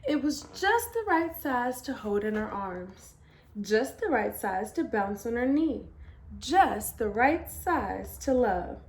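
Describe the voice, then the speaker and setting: Lady reading a kids picture book out loud, highish voice, super lively, stresses words. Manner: expressive, deliberate emphasis. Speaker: storyteller. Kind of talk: children's picture book read-aloud.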